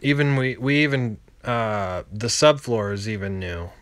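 A man in his thirties, a landlord giving a casual, halting, unscripted walkthrough voiceover. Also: lowish voice, a bit bright, no room sound. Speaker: landlord and home renovator.

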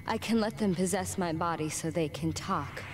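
An actress playing a defiant young female spirit medium in a video game cutscene, speaking in halting, breathless phrases. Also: lowish voice, soft background music, lightly acted. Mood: solemn. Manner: slow, halting, breathless delivery. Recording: noisy old game cutscene audio.

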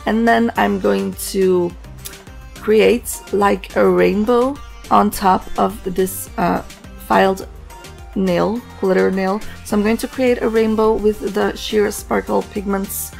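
A woman hosting a nail art tutorial, hoarse and raspy from a cold, narrating in a relaxed, chatty way with plenty of fillers. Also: medium pitch, slow, faint music behind. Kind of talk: casual voice-over tutorial narration.

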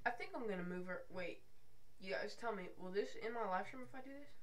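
A man's voice: high voice, fast. A young man live-streaming a game, chatting casually and distractedly with his viewers while he fiddles with his audio and overlay settings, calling them dude and bro. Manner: rambling, distracted, checks in with chat.

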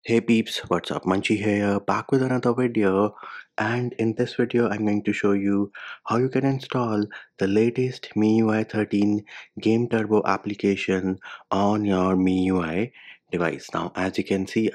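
Low, even-toned man presenting a tech tutorial. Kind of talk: step-by-step phone tutorial voice-over.

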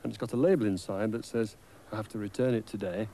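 A middle-aged British man presenting and telling stories to young children in simple, clearly phrased sentences. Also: fairly low pitch, steady tone, fast, background noise. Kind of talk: gentle scripted storytelling to young children.

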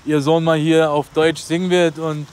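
Young man, relaxed and conversational. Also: fairly high pitch, moderate pace, slight background noise. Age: young adult. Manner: relaxed, conversational, says "weißt du" often. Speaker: rapper. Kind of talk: casual interview. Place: outdoors in an urban garden.

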